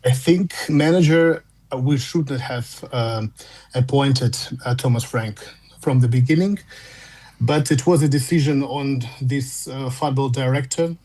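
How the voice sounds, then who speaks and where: A man with a Russian accent, calling in to a fan show, speaking English as a second language in halting phrases with frequent restarts. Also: mid-range voice, a little mellow. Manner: halting, repeats words and restarts while searching for English. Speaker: caller. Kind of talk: phone-in fan chat.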